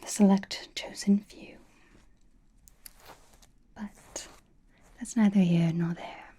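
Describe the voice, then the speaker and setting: A woman playing an elegant, teasing female vampire noble in an ASMR roleplay, speaking in a soft, breathy whisper with a refined manner. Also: medium pitch, steady tone. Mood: wry, amused. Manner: slow, refined, wryly teasing.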